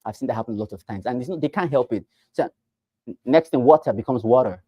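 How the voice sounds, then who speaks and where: A man with a Nigerian accent leads a classroom session for realtors and drives each point home by repeating it. He swings from loud bursts to quiet asides and keeps asking rhetorical questions. His voice sits at a middling pitch and is recorded dry, with no room echo. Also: fast, slightly rough, highs cut off. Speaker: real estate trainer. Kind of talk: classroom training talk.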